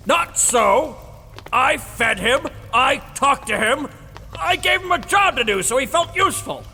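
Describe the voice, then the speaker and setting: An actor in an audio drama playing a gruff old dragon-slaying veteran, defensive and blustery, with a gravelly, rambling delivery. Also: male voice, very high voice, lively intonation, measured pace, bright, forceful tone, heavily acted. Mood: blustery, insistent. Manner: blustery, rambling storytelling, stammers when challenged. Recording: reverberant hall with music behind.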